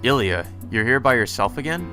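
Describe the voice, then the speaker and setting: A man's voice: medium pitch, lively intonation, fairly quick, over music. A man reading a visual novel aloud in first person as the hero, with sarcastic asides of his own. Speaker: let's play narrator.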